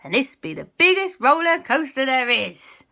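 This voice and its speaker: A woman's voice: sits high, very animated, moderate pace, creaky, sharp tone, pitched above the performer's own voice, exaggerated voice. A woman voicing a jolly, bold male pirate adventurer from a cartoon, exclaiming in pirate talk.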